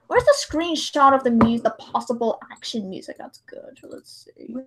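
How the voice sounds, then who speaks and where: A woman hosting a casual livestream, chatting in an expressive, exclamatory and easily distracted way. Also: fairly high pitch, noisy background. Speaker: livestream host editing videos.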